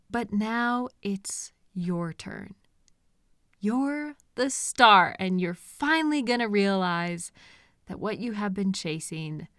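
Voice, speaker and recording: Obsessive, sweetly menacing kidnapper played by a woman, unhurried. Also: medium pitch, dry recording, studio quality. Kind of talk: intimate roleplay audio drama monologue.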